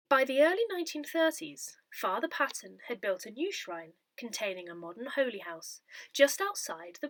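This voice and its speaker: A woman narrates from a script in measured, clearly separated phrases. Her voice is bright and forward, with very lively rises and falls in pitch. The recording is close and dry, with little room sound. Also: no echo. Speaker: reader of an audio newsletter. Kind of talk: scripted narration, reading a parish newsletter aloud.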